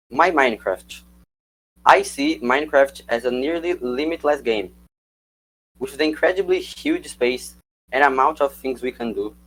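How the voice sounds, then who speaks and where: Young man, a native Portuguese speaker, reading a scripted talk to slides in an even middle pitch. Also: low-quality recording. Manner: reads prepared script, calls for slides. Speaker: student presenter. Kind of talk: prepared slide presentation.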